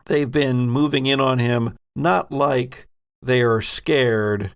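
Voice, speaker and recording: A man's voice: medium pitch, slow pace, smooth. A man running a tabletop horror game as game master, narrating in a descriptive, suspenseful way.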